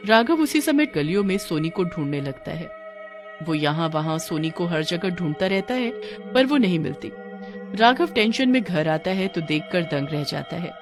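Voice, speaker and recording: Lively storyteller with expressive, swooping intonation. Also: mid-range voice, normal speed, over music. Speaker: narrator. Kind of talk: scripted dramatic horror-story narration.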